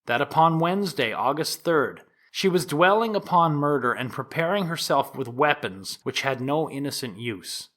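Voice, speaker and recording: An actor playing a formal, insistent prosecuting attorney from the 1890s in a courtroom audio drama, speaking in a measured, emphatic way. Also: male voice, fairly high pitch, fairly bright tone. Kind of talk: dramatized courtroom oratory and examination.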